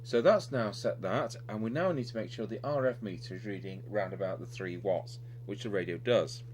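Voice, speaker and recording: A man's voice: fairly low pitch, normal speed. A man giving an unscripted, hands-on technical demonstration, talking step by step and somewhat hesitantly as he works on a radio repair. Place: indoor radio repair workbench. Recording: clearly noisy recording with electrical hum.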